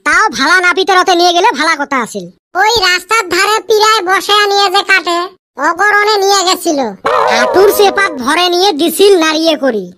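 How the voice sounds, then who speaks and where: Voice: very high voice, lively intonation, fairly quick, bright, forceful tone, heavily acted. A performer voicing a mischievous village kid in a comedy cartoon, complaining and exclaiming with a northern Bengali accent. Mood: relieved, chatty. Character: mischievous village kid grumbling about the heat.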